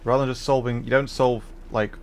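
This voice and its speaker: A man's voice: medium pitch, level tone, fairly quick. A man livestreaming a game, explaining puzzles casually and hesitantly, piece by piece as he thinks aloud. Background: faint noise and game ambience.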